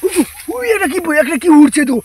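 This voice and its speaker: A man hosting a ghost-hunting vlog outdoors in the woods at night, jittery and excitable, repeating words. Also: very high voice, fairly quick, crowd noise. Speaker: vlogger host.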